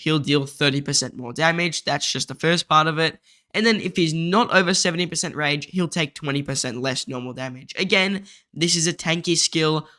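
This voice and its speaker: Congested man explaining a game guide. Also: on the high side. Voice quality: nasal. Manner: steady, methodical explaining. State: congested, blocked nose.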